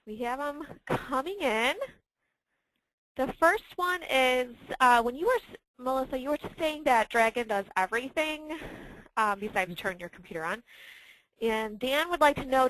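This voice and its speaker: A woman hosting a webinar informally, with a hesitant, halting delivery. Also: sits high, fairly bright tone, low-quality recording. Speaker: webinar host and moderator.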